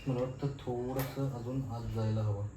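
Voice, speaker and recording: A man's voice: medium pitch, steady tone, moderate pace. A man vlogging casually, thinking aloud informally as he works through a hands-on installation. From Maharashtra, India. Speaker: motovlogger. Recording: roomy, noisy, distant microphone.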